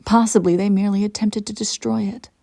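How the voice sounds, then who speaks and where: An ancient evil empress acted by a woman speaks in a dark, mellow voice with a gravelly creak. She is regal, cold and possessive, choosing formal words with arch care in an intimate roleplay monologue, her voice at times sinking toward a whisper. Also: medium pitch, fairly even intonation, lightly acted. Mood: smug, composed. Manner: formal, measured, arch diction with breathy near-whispered drops. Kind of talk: scripted audio roleplay monologue, intimate direct address.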